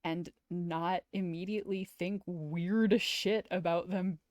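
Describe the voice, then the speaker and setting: A woman's voice: fairly low pitch, fairly even intonation, takes it slowly, a little mellow, dry recording, highs cut off. A woman giving informal, opinionated commentary as a game streamer, peppering her speech with "like". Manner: opinionated, informal, uses "like" often. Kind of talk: casual commentary and visual novel read-aloud.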